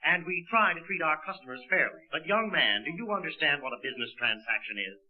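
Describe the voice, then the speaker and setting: A man's voice: fairly high pitch, expressive, fast, natural voice. An actor playing a stern, formal department store man, dry and exasperated, in a 1940s radio sitcom. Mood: stuffy. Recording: muffled old radio recording, audience behind.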